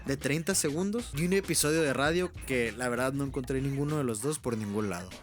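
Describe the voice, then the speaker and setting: A man's voice: medium pitch, brisk. A man reading an informal documentary voice-over, candid and open about what is uncertain. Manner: candid, openly unsure of facts. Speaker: video essay creator and narrator. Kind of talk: informal scripted documentary narration.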